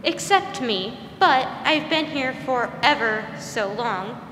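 A woman's voice: on the high side, lively intonation, takes it slowly. A woman playing a mysterious, old-fashioned twelve-year-old ghost girl in a 1940s-style radio drama, quaint and matter-of-fact. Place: school theater stage. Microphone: stand microphone, masked performer. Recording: echoey theater hall, faint noise.

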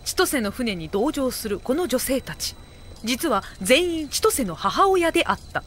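A woman narrating a scripted anime comedy audio drama, with deadpan asides. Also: high voice, unhurried, slight background noise.

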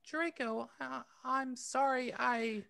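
A man's voice: extremely high pitch, fairly even intonation, slow pace, vocal fry, bright, forceful tone, smooth, voice pushed up, full character voice. A man voicing a frightened, defiant teenage witch girl in a dramatic fan-fiction reading. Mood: flustered, sorry.

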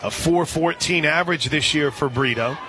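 A man calling softball play-by-play for radio in a conversational, folksy way, with asides between the calls. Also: a little high, normal speed, noisy background. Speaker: radio play-by-play sports announcer. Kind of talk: live softball commentary. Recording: crowd murmur behind broadcast voice. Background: outdoor stadium crowd.

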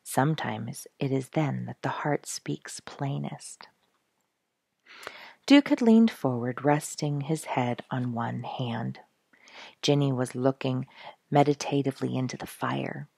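Middle-aged female story reader, husky with creaky edges, deliberate and emphatic. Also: rumbling low, darkish tone, no echo, clean studio sound. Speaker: storyteller reading a book aloud. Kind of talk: expressive storybook narration. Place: small quiet room indoors, seated in an armchair.

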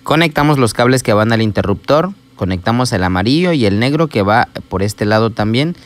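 A man's voice: mid-range voice, expressive, a little fast. Patient man explaining an engine repair. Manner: patient, explanatory, addresses viewers directly. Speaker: small-engine mechanic. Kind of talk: step-by-step repair tutorial voice-over.

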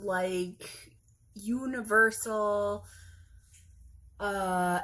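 A woman's voice: a little high, a bit bright. A woman in her thirties with a Wisconsin accent, chatting casually to camera from her kitchen, rambling and groping for words as she goes. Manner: rambling, searching for words, self-correcting. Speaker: vlogger. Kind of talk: casual chat. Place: home kitchen, indoors. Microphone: phone camera across the table.

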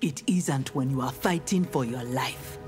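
A woman's voice: very deep voice, measured pace. A woman playing a defiant, honorable female ninja warrior in a video game, speaking firmly and earnestly. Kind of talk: scripted video game dialogue. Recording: music behind the voice.

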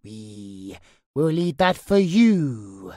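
A sinister demonic being toys with the listener in a horror audio roleplay. The delivery is extremely slow and swoops widely up and down in gleeful, singsong menace. The sound is dry and close. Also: female voice, a bass voice, very animated, darkish tone, no echo, exaggerated voice. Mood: mocking, playful. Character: gleeful, sinister demonic entity calling itself "we".

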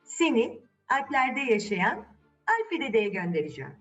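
A woman's voice: fairly high pitch, huge pitch swings, normal speed, fairly bright tone, distant mic, highs cut off, soft background music. A woman voicing a firm, matter-of-fact aunt in a children's tale, explaining things plainly. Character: firm, matter-of-fact aunt sending her niece away.

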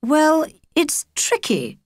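A woman's voice: sits high, wildly swooping pitch, takes it slowly, no room sound, studio quality, pitched above the performer's own voice, heavily acted. A woman with a British accent voicing a nervous, strict middle-aged vicar father in a storybook. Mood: uneasy, apologetic.

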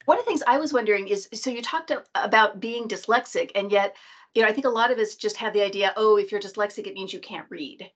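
A woman in middle age with a rough-edged voice, the sort who hosts a professional networking call from her home office. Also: mid-range voice, fast talker, a bit bright. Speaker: host of an online group call. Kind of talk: casual moderating and questioning. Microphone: video call.

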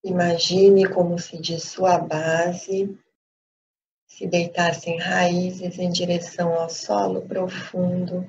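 Very slow Brazilian woman guiding meditation. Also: lowish voice. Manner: calm, unhurried, with long pauses between short phrases. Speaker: meditation teacher. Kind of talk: guided meditation instruction. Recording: dull lo-fi sound with light background noise.

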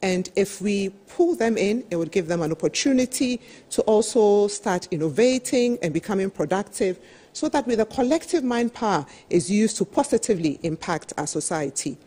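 A middle-aged woman with a slightly husky voice, giving an emphatic, explanatory business presentation as a panel speaker, often saying "you know". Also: medium pitch, animated, moderate pace, warm tone. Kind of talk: business presentation at a televised roundtable. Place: television studio, seated at a desk.

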